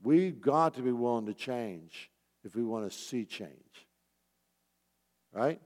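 A man's voice: medium pitch, lively intonation, takes it slowly, darkish tone. A man preaching to a church group as its pastor, earnest and urging, repeating key phrases and posing questions. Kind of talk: sermon, exhortation with rhetorical questions. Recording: roomy hall with long reverb.

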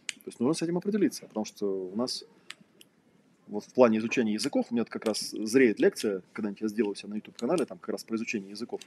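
A man's voice: mid-range voice, a little mellow, slight background noise. A man giving an informal lecture, conversational and rambling, telling anecdotes with a wry manner. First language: Russian. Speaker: trainer, lecturer.

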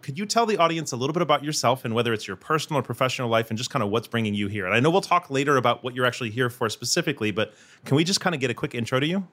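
A man hosts an interview podcast and talks quickly. He is chatty and rambling, and his pitch sits in the middle of the range. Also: fairly bright tone. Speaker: podcast host. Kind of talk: casual interview.

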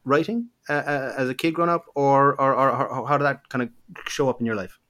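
A man's voice: mid-range voice, fairly quick, bright, forceful tone. A man hosting an interview, hesitant, who often repeats words and restarts his sentences. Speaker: podcast host. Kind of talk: casual interview conversation.

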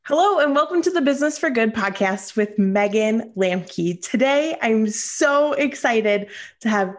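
A woman's voice: fairly high pitch, lively intonation, a little slow. A woman hosting a podcast interview, upbeat and enthusiastic as she introduces her guest. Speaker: podcast host.

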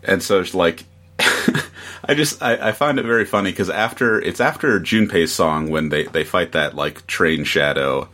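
A man on a podcast panel chatting casually about a review, halting, with exasperated amusement. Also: sits low, sharp tone.

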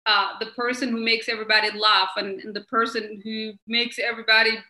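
A woman from Somalia, a guest in an interview, speaking emphatically and animatedly, halting often on fillers and breaking into laughter. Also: fairly high pitch, level tone, pushed and bright, echoey room. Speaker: guest, member of parliament. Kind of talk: conversational interview answers.

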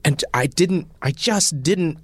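A man as a podcast guest, telling a story in an animated, self-mocking way. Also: a little high, takes it slowly, breathy edge, dry recording. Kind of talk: casual storytelling.

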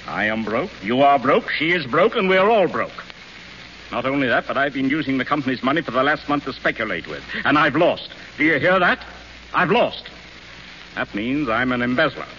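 An actor playing an exasperated, bankrupt wealthy father in a 1930s radio play, gruff and sarcastic. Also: mid-range voice, expressive, fairly quick, sharp tone. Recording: muffled old radio broadcast with faint hiss.

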